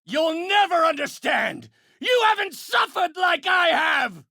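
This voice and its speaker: An older male supervillain scientist from a video game, furious and paranoid. He rants theatrically in a strained, forceful shout with gravel in it. His pitch is very high and swings wildly. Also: wildly swooping pitch, slow, dry recording, clean studio sound, heavily acted. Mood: enraged. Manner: theatrical, ranting. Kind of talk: dramatic video game voice acting.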